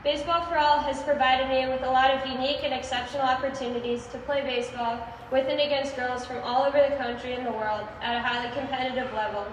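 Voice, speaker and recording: A young American woman of eighteen delivers a prepared speech in a high voice. She is heard from far off through long echo, with clear noise around her. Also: fairly even intonation, fast, hardly any pauses. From United States (Illinois). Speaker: youth baseball player and team captain. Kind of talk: prepared speech at an opening ceremony. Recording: echoey outdoor PA from far off, noisy.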